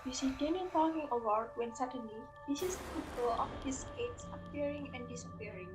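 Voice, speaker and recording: A woman's voice: sits high, a little fast, loud music behind the voice. A woman reading scripted story narration as the narrator of a readers theater piece.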